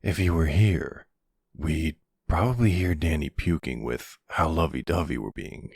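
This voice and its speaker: A man playing a middle-aged silver fox mafia boss, smitten and commanding, speaking tenderly and gently in an intimate ASMR roleplay. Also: no room sound, clean studio sound, full character voice. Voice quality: low, gravelly. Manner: slow, tender, measured.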